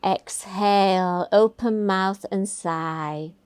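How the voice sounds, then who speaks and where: A woman teaching a guided meditation, giving gentle, soothing, unhurried instructions. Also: medium pitch, clean tone, no room sound.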